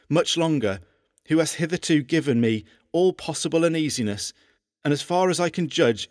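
A male actor gives us a devoted, lovesick king of old England. He reads his letters in formal, archaic phrases with earnest, measured delivery. His middle-pitched voice sits close and dry, as in an audio documentary. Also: no room sound, natural voice. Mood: anxious, aggrieved. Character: lovesick English king Henry VIII reading his own love letters, earnest. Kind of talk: dramatised reading of historical letters.

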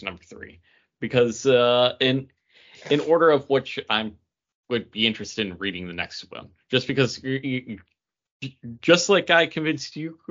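A man's voice: medium pitch, fairly bright tone, highs cut off. A man co-hosting a comic book podcast in casual chat, with a wry, self-mocking way of talking.

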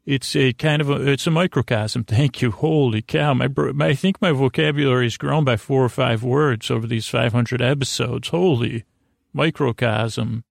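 Creaky-voiced man rambling soothingly. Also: medium pitch, moderate pace, no room sound, studio quality. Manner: meandering, long-winded, self-interrupting. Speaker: podcast host. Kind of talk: rambling, soothing bedtime monologue.

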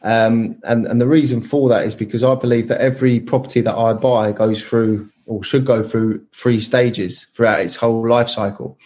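A man's voice: mid-range voice, level tone, moderate pace, few pauses, mellow. A man in his thirties, a podcast guest explaining his plans in casual chat, eager and full of restarts. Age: 30s. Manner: enthusiastic, many restarts and fillers.

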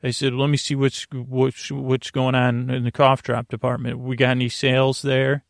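A man with a gravelly, fry-laden voice rambles through a bedtime monologue. He speaks haltingly and wanders into whimsical tangents, leaving thoughts half finished. The sound is dry, with no room around it. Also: mid-range voice, completely flat delivery, dry recording. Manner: meandering, halting, trailing off into tangents. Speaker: sleep podcast host.

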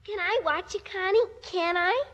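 A nosy American kid sister in a vintage radio comedy. The voice is extremely high, pert and skeptical. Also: female voice, normal speed, fairly bright tone, highs cut off, slight background noise. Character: pert, nosy little girl, coach's younger daughter. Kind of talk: 1950s radio comedy acting. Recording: vintage 1950 radio broadcast recording.

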